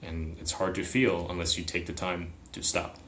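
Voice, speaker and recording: Deep-voiced man musing, roomy echo. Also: little pitch movement, normal speed, fairly bright tone, a little hiss or hum. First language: Chinese. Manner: searching, often checks in with "right?". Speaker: podcast guest. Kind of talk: reflective interview talk.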